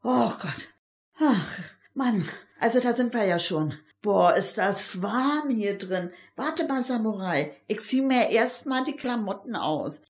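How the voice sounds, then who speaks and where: A plucky female amateur detective, played by a woman with a fairly high, animated voice. She talks colloquially and exclaims often, in the manner of a homemade crime radio play. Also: slow. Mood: flustered, casual.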